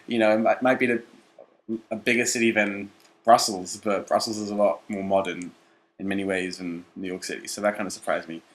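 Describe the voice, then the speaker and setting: A man in his twenties from Belgium talks about his own life in an interview. His voice is very low. He speaks casually and reflectively, as if thinking back over his story. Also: steady tone, normal speed, rough recording. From Belgium, English family.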